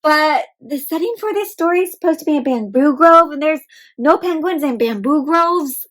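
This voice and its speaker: A woman's voice: high voice, lively intonation, normal speed, a bit bright. A woman voicing an exasperated, flustered story narrator in a children's picture book, fretting and protesting. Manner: fretful, protesting. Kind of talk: children's storybook read-aloud.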